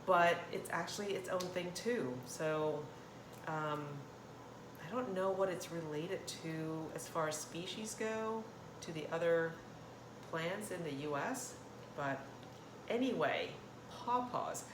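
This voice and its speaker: Low-voiced, chatty woman in an echoey kitchen. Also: takes it slowly. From Thailand. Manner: chatty, rambling, self-interrupting. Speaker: cooking channel host. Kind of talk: casual cooking demonstration. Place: indoor kitchen. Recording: roomy echo, clear kitchen noise, clattering dishes. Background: cutlery and dish clatter.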